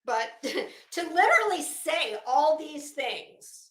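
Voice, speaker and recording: An American woman commentator with a high, highly animated voice, speaking in a roomy, echoing space. She delivers dramatic readings and casual commentary slowly and emphatically, drawing out phrases and breaking off for pointed asides. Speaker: commentary show host.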